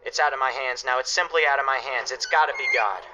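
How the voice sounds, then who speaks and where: An actor playing a stern, anguished father guarding his family, speaking gravely and emphatically in a radio play. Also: mid-range voice, quick pace, highs cut off, music in the background, lightly acted. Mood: bitter, reproachful. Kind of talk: dramatic radio play acting.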